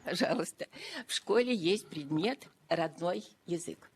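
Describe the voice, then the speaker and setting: A woman giving formal remarks at an official meeting, deliberate and emphatic, repeating key phrases for weight. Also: on the low side, animated, gravelly. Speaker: education expert addressing a council. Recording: noisy videoconference audio.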